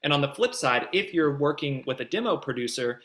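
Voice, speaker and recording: A man's voice: on the high side, animated, brisk, fairly bright tone. A man giving conversational expert advice as a guest producer, in an explanatory, emphatic way.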